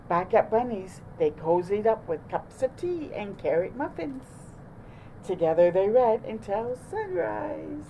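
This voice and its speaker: Slow, mellow woman reading a picture book. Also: medium pitch, slight background noise, poor audio. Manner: expressive, deliberate. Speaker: storytime host reading aloud. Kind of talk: children's picture book narration.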